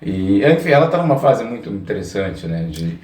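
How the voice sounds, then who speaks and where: A man's voice: on the low side, sing-song, moderate pace, a little mellow, slight background noise. A Brazilian man hosting a casual, conversational interview and putting questions to a guest. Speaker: interviewer, podcast host. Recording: echoey room, distant from mic.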